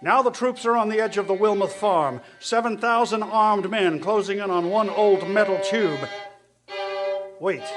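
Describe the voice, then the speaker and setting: An actor playing an army captain giving a field report in a radio drama, with a clipped, official manner. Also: male voice, high-pitched. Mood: alarmed, excited. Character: confident male army signal corps captain reporting from battlefield. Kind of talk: stage radio drama acting.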